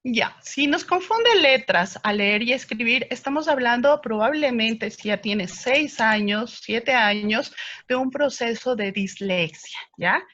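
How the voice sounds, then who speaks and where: A woman's voice: fairly high pitch, unhurried, fairly bright tone, highs cut off. A woman speech therapist answering viewer questions, explaining patiently with frequent hesitations.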